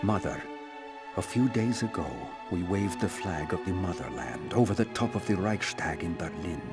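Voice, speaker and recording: A man voicing a tender, weary Soviet soldier, softly reading his letter home in a war game. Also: low voice, animated, moderate pace, music in the background. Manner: soft, reflective. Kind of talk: letter read as voice-over.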